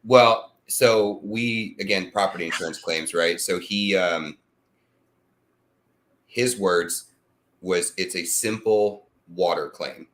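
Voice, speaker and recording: A man from the American Midwest hosting a casual chat, telling drawn-out stories in a laid-back way with some swearing. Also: low voice, fairly bright tone. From American Midwest, born in Tennessee. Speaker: host.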